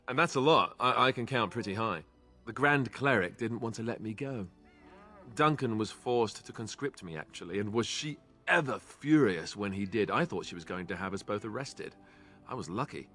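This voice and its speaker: An actor voicing a wry, self-deprecating young warrior in a fantasy video game, loyal, a little awkward and conversational. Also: male voice, lowish voice, moderate pace, sharp tone. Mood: wry, joking. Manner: joking, hesitant, conversational.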